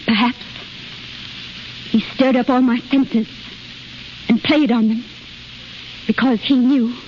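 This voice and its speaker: High-voiced, proud, anguished young heroine. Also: female voice, steady tone, takes it slowly. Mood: anguished, impassioned. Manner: intense, dramatic, earnest. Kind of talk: 1930s radio drama acting. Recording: muffled, noisy 1930s radio recording.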